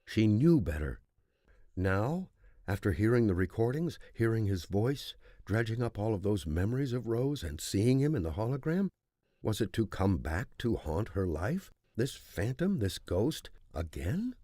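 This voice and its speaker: A man's voice: fairly low pitch, big pitch swings, measured pace, dark tone, no room sound, studio quality. A man reading scripted dramatic narration of a thriller audiobook.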